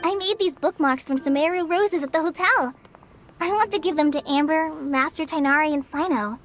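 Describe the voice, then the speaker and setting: A woman's voice: sits high, moderate pace, a bit bright, slight background noise. A woman playing a shy, grateful young girl apprentice in a video game, sweet and sincere. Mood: warm, sincere. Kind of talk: scripted game dialogue acting.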